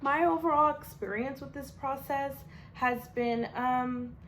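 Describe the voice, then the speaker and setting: A woman's voice: fairly high pitch, a little hiss or hum. A young adult woman, a language student, gives a casual spoken class presentation in a chatty way, full of fillers and restarts.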